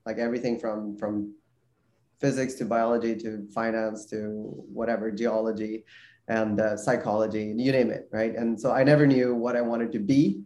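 A man's voice: mid-range voice, mellow, reverberant. A man from Sweden, a guest on a video call interview, talking casually with many fillers and restarts. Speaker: guest researcher.

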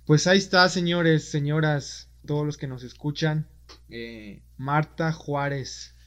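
A man's voice: on the high side, fairly even intonation, slow. A man hosting a podcast interview, asking questions in a friendly, conversational way. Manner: friendly, conversational, wraps up warmly. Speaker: podcast host. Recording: dull lo-fi audio.

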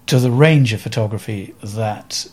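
A man's voice: on the low side, a little mellow. Thoughtful older Welsh man recalling the past. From Wales. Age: around 70. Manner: thoughtful, halting, with many fillers and restarts. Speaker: photographer guest. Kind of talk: reflective interview storytelling.

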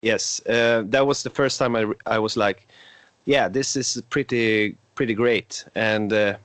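A man's voice: on the low side, steady tone, measured pace, a bit bright, clear, resonant tone, no room sound. Hesitant Swedish-accented young man, dry sound. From Sweden. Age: 20s. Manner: hesitant, frequent filler sounds. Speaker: podcast host. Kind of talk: casual podcast chat.

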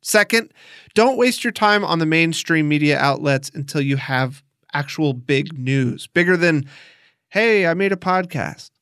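A man's voice: a little high, lively intonation, unhurried, a bit bright, clear, resonant tone, no room sound, studio quality. A man hosting a podcast, reading scripted documentary narration in an instructional way with emphatic signposting. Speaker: podcast host.

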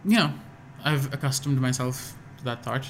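A man's voice: medium pitch, moderate pace, a little hiss or hum. Hesitant young man with American accent. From Pakistan. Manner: hesitant, restarts often, many fillers. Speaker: guest musician. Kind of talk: casual podcast interview.

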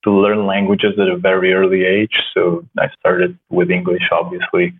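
A man with a Slovenian accent, a startup co-founder chatting in a soft-spoken, halting way with many restarts. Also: deep voice, husky. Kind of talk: casual conversation. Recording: tinny phone-like line.

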